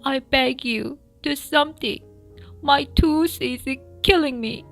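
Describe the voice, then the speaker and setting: A woman's voice: high-pitched, creaky, fairly bright tone, pitched above the performer's own voice, full character voice. A woman voicing a pitiful, wheedling male fox with a toothache in a storybook, drawing out the words. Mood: pleading, pained. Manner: drawn-out wailing and whimpering. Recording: background music behind the voice.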